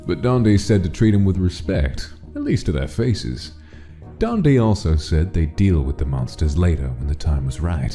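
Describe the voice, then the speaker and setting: A man narrates a dramatic scripted story in a very low, mellow voice. Faint guitar music plays behind his dark tone. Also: sing-song, normal speed. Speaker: narrator of a comic dub.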